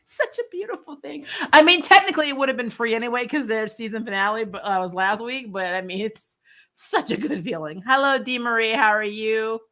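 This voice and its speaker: A woman's voice: on the high side, brisk, fairly bright tone. A woman hosting a casual recap livestream, talking informally and chattily about shows and viewer comments. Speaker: host of a TV recap show.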